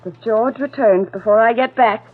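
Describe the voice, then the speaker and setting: An actress playing a coolly threatening, calculating blackmailer in old-time radio drama, speaking in an insinuating, deliberate way. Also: female voice, on the high side, close to an everyday voice. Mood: brisk, controlled. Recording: tinny band-limited vintage radio, music behind.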